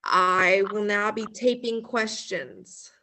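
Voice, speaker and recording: A woman's voice: medium pitch, lively intonation, slow, pushed and bright, heavily acted. A woman playing a blundering female army general in a school radio play, speaking stiffly and tripping over words. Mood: pompous.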